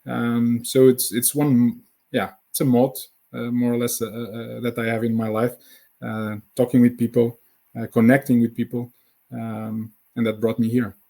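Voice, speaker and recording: Podcast guest: thirties man, Portuguese accent, warm low-key tone, hesitant delivery with many restarts. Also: monotone, gravelly. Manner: halting, many fillers and repeated words. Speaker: guest, founder of a sales community. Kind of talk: conversational podcast interview.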